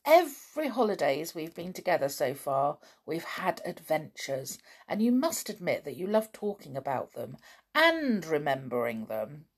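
A woman voicing a bold, adventurous tomboyish girl who calls out loudly and eagerly. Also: sits low, big pitch swings, unhurried, full character voice. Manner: loud, eager calling.

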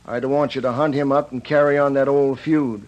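An actor playing an earnest, fair-minded prison warden in an old Western radio drama, speaking in a deliberate, official way. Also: male voice, mid-range voice, level tone, a little mellow, close to an everyday voice. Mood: solemn, hopeful. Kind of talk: 1940s-1950s Western radio drama acting. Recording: dull lo-fi old radio recording.

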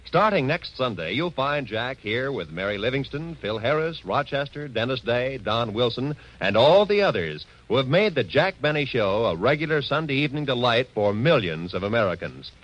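A man's voice: mid-range voice, very animated, moderate pace, hardly any pauses, a bit bright. A man announcing in polished 1940s network radio style, reading a scripted promo. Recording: dull vintage radio recording.

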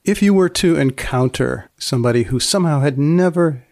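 A man hosting a podcast, asking probing questions and reading narration in a deliberate, deadpan way. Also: a little high, gravelly. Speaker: podcast host. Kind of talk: scripted narration and deadpan interviewing.

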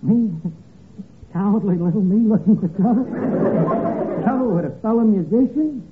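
An actor playing a brash, uneducated tavern manager who wisecracks in mangled slangy grammar in an old radio comedy. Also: male voice, high-pitched, moderate pace, a loud crowd, close to an everyday voice. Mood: sardonic, wary. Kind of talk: 1940s radio comedy acting. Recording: tinny, very noisy old radio recording.

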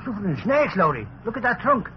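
An actor playing a slow-witted, excitable detective sidekick full of folksy exclamations, in 1940s radio style. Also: male voice, sits high. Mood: amazed. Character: dim, excitable, good-natured slangy male detective sidekick. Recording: dull, noisy old radio recording.

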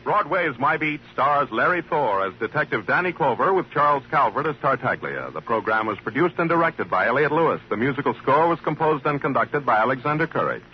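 A man's voice: medium pitch, big pitch swings, fairly quick, hardly any pauses. A man reading scripted credits as an announcer on an old radio program. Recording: muffled old radio with hum.

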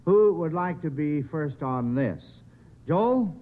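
A man with a slightly high voice hosts a 1950s radio panel show. He talks to children in a folksy, chatty way. The old recording is narrow and muffled. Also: lively intonation, slow pace. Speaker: quiz show host.

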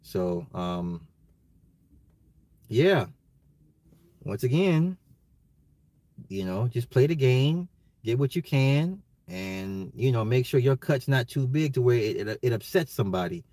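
An American man ranting casually on a livestream, emphatic and slangy, repeating his phrases, his voice getting slightly hoarse. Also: medium pitch, expressive, takes it slowly, long pauses, warm tone, no room sound. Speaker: livestream host. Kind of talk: casual opinionated livestream rant.

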